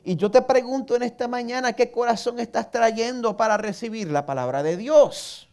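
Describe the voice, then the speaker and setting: A man's voice: extremely high pitch, normal speed, creaky, a little mellow, clear, resonant tone. A man with a Puerto Rican accent, preaching a sermon in an earnest way and putting questions straight to the listener. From Puerto Rico. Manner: self-mocking humor. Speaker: pastor.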